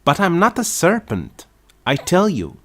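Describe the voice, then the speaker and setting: A man's voice: lowish voice, lively intonation, moderate pace, voice pushed up, natural voice. A man voicing a polite young girl, earnest and puzzled, in a raised storybook delivery. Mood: indignant.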